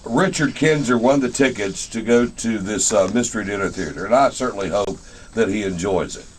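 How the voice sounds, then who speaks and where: An American man with a fairly low voice hosts a local radio show. He talks in a chatty, informal way, promoting and asking questions as he goes. There is clear noise behind his voice. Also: fairly quick, low-quality recording. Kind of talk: casual interview and on-air promotion. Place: radio station.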